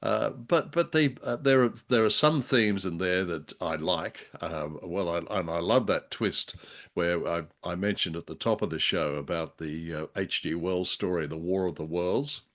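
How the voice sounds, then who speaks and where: A man's voice: sits low, moderate pace, a bit bright. A man co-hosting a podcast, chatting casually in a droll, wordy, hesitant way. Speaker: podcast co-host. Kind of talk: casual chat, radio drama discussion.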